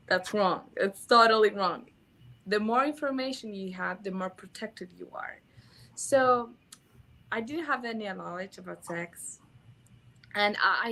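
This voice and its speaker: Guest, young adult woman from Mexico, Spanish-accented English, earnest and a bit halting, mid-pitched. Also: fairly bright tone. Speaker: intimacy educator guest. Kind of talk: casual conversational interview.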